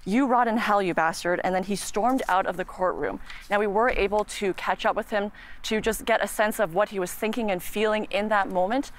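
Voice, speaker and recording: A woman with a Canadian accent giving a measured, earnest live news report as a reporter outside a courthouse. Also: mid-range voice, steady tone. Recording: clear background noise, outdoor ambience.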